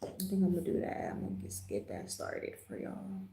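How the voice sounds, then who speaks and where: Casting: American female livestream host, relaxed mellow tone with lots of vocal fry, chatty and slangy, echoing room sound. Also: mid-range voice, fairly quick, slight background noise. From Jamaica, raised in the US. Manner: laid-back, slangy, chatty. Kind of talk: casual livestream chat. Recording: roomy, echoing livestream audio.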